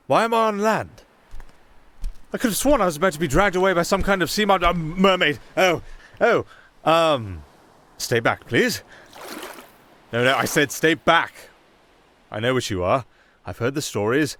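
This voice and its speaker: A nervous young castaway musician acted by a man in a fantasy audio roleplay, rambling and wry, quick to plead and quicker with sarcasm. Ambient noise runs clearly behind his voice. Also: medium pitch, animated, a bit bright, close to an everyday voice. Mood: panicked. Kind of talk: ASMR fantasy roleplay acting.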